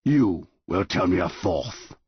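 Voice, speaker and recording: A man voicing an ancient, towering male tree monster that speaks in a slow, grand, commanding way. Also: low voice, expressive, slightly rough, dry recording, lo-fi sound, full character voice. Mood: stern.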